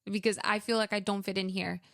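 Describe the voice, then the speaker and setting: Young woman, smooth clear voice, dry close sound, chatty with lots of fillers. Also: medium pitch, flat delivery, fast, no room sound. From Venezuela. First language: Spanish. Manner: chatty, many fillers, acts out her own past thoughts. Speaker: guest. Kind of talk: casual interview storytelling.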